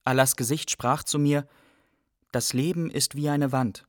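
A man's voice: medium pitch, fairly even intonation, measured pace, no echo, studio quality, lightly acted. A man playing a young, reflective Iraqi refugee who narrates his wanderings in a radio play. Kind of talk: scripted first-person radio play narration.

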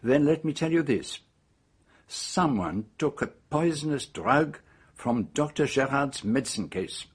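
An actor playing a shrewd, unbending detective in a radio drama, questioning suspects in a formal, deliberate way. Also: male voice, mid-range voice, lively intonation, husky. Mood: calm, insistent.

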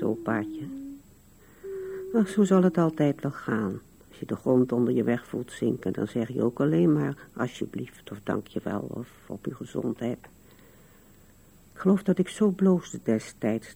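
An actress playing an old widow in a radio play, musing wistfully to herself and to absent loved ones. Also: female voice, a bass voice, vocal fry, dark tone, breathy edge, exaggerated voice, low-quality recording. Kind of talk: radio drama monologue.

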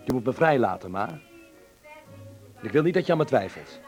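A defiant young operetta performer , played by a male actor in a radio drama. He speaks in an earnest, theatrical way at a middle pitch, with an affectionate streak. Music plays behind him. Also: sing-song, moderate pace, darkish tone, natural voice. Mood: indignant, pleading. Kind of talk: Dutch radio play acting.

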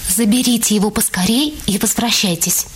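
A woman playing a brisk, practical and teasing young woman in a radio drama. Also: mid-range voice, a little hiss or hum, close to an everyday voice. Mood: brisk, puzzled.

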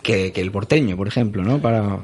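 A man from Spain with a Spanish accent, hosting a laid-back podcast conversation. He talks in a soft-spoken, thoughtful way and fills the pauses with murmured agreement. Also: fairly low pitch. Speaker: podcast host. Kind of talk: casual conversation.

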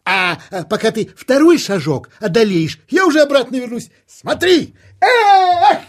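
A woman's voice: sits high, lively intonation, a little slow. A woman voicing a boastful, teasing young male hare in a children's audio play, with a giggly, chattering manner. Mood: boastful, excited.

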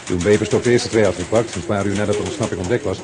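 An actor playing a brisk, businesslike middle-aged police inspector who gives clipped orders. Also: male voice, on the low side, fairly even intonation, natural voice. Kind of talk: radio play acting.

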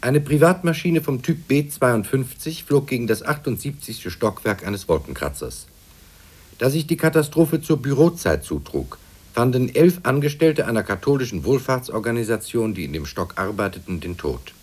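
An actor playing a grave, formal news reporter reading dramatic disaster reports in a 1950s radio drama, with a husky voice. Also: male voice, medium pitch, sing-song, moderate pace, a little mellow.

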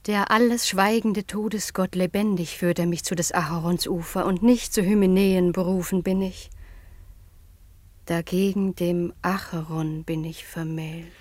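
Earnest young princess, dry close sound. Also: female voice, fairly low pitch, fairly even intonation, measured pace, no room sound. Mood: solemn, declaiming. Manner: solemn, theatrical recitation. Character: earnest young princess practising tragic declamation. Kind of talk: German radio play acting.

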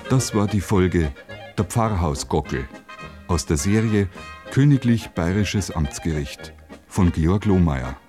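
A man with a low, mellow voice makes a formal radio announcement. He reads scripted credits over faint brass music. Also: expressive, takes it slowly.

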